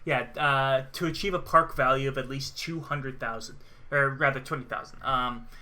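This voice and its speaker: A man's voice: mid-range voice, fairly even intonation, normal speed, bright, forceful tone. A man in his thirties giving casual gameplay commentary as a co-host. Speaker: co-host of gaming series.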